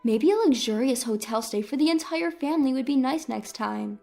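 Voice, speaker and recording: A woman's voice: high-pitched, lively intonation, moderate pace, vocal fry, darkish tone, faint music behind. A woman reading a scripted first-person story as a dramatic narrator.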